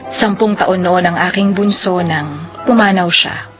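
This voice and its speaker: A Filipino woman playing a gentle, hardworking widowed mother in a radio drama. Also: fairly low pitch, a little slow, over music, natural voice. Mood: wistful.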